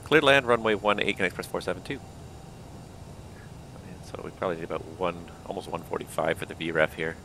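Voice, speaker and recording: A man flying a simulated airliner, thinking aloud and calling out checklists, with a voice that is going hoarse. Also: deep voice, fairly even intonation, quick pace, noisy background. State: losing his voice. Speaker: flight simulator pilot. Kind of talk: casual cockpit commentary.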